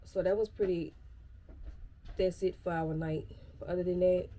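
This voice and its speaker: A woman's voice: fairly low pitch, steady tone, normal speed, heavy background noise. A young woman with a stuffy nose from a cold, chatting casually and rambling to her viewers in a vlog at home. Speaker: vlogger.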